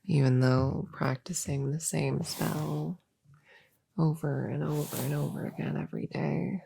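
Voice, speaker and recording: A woman playing an eager, slightly grumpy young novice witch in a soft-spoken ASMR roleplay, chatting casually and hesitantly to a friend in a breathy voice that often drops to a whisper. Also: low voice, steady tone, a little slow, a little hiss or hum, full character voice. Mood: grumpy, wistful. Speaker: audio roleplay performer. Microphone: close microphone in a dry space.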